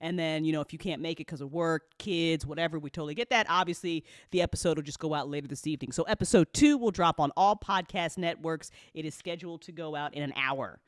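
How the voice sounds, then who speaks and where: A woman hosting a live-stream listen party, chatting casually and excitably with her audience, giggly and quick to swear. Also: low-pitched, no room sound, clean studio sound. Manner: hype-building, talks directly to chat. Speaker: host of a podcast listen party.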